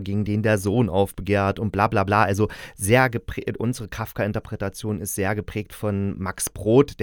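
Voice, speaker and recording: A man's voice: on the low side, dry recording. A man talks casually as a podcast host. He rambles in a chatty, digressive way, as if thinking aloud. The sound is dry, with very little room to it. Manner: rambling, chatty, digressive, chuckles now and then. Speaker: podcast host and radio play producer. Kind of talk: casual solo podcast talk.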